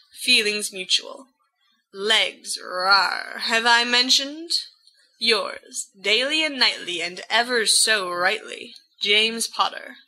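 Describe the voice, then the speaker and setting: A woman's voice: fairly high pitch, bright, forceful tone, low-quality recording. A woman reading fiction aloud as a cocky, flamboyant, lovestruck young man's letters, with playful theatricality. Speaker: volunteer audiobook reader.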